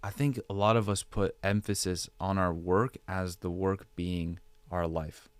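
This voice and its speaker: A man's voice: low-pitched, fairly even intonation, unhurried, clear, resonant tone, no echo. A man speaking as a podcast guest, giving conversational advice with rhetorical questions and repeated phrases for emphasis. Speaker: podcast guest, digital creator.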